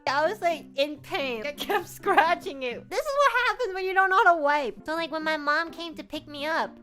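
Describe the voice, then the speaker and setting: A young woman with a bright, fairly high voice, chatting casually to her stream. She grew up in Canada with Chinese as her first language. She tells crude stories in an animated way, often breaking into laughter, with soft music faintly behind her. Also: moderate pace. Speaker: virtual streamer. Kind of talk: casual storytelling chat.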